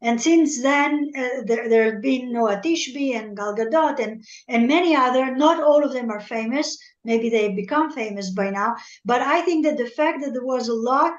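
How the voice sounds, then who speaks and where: French-native woman, echoey dull audio. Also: fairly high pitch. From Algeria. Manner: earnest and measured, often repeating words as she searches for them. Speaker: guest analyst, former military spokesperson.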